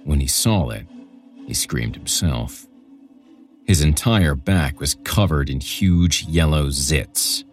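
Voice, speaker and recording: A man reading a scripted horror story as a podcast narrator, with music behind him. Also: very deep voice.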